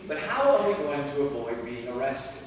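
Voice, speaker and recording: A man's voice: mid-range voice, big pitch swings, normal speed, natural voice. A man playing an exasperated, cautious vampire in a staged play reading, speaking in a curt, weary, sceptical manner. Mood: doubtful. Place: theater hall, recorded from a distance. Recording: very echoey hall, far mic, faint noise.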